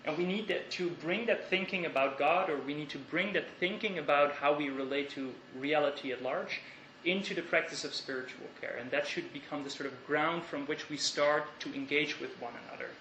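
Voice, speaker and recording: A young Dutch man giving an academic lecture in a lecture hall, thoughtful and measured, with hesitations and restarts. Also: a little high, bright, forceful tone. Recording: echoey lecture hall, faint hiss.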